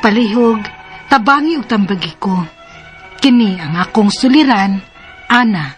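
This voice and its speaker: A woman's voice: medium pitch, lively intonation, measured pace, lightly acted. A woman acting a troubled separated mother who is pregnant and wants to remarry, in Cebuano-accented radio drama. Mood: earnest, worried. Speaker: radio drama lead and letter narrator. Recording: music playing behind the voice.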